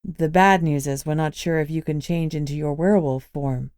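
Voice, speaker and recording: A woman's voice: low-pitched, steady tone, normal speed, a little mellow, clear, resonant tone, no echo, studio quality, natural voice. Woman voicing a frank, weary spellcaster.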